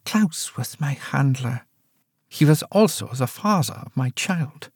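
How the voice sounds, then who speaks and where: Guy putting on a grave quiet 90s-something grandma type, steady and resigned, lively pitch, dry mic. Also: unhurried, breathy edge, no room sound. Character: elderly woman, ninety-three, sharp and guarded.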